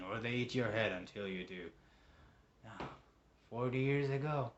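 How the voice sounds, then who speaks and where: A man's voice: mid-range voice, fairly bright tone, lightly acted. A man voicing a henpecked, long-married older husband, grumbling and resigned, as a character in a theatrical monologue. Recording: roomy, echoing room.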